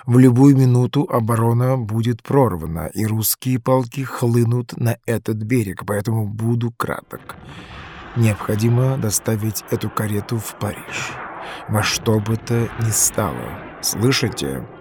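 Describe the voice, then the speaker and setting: An actor playing a stern, commanding French general in an audio drama, speaking in a curt, deliberate, authoritative manner. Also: male voice, on the low side, background noise. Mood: urgent, commanding. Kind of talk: scripted audio drama acting.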